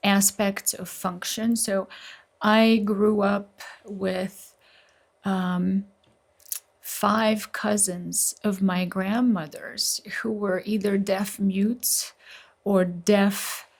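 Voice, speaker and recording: A woman's voice: mid-range voice, little pitch movement, extremely slow, creaky. A middle-aged woman from Slovenia, a neurologist guest telling her story earnestly and at length in an interview.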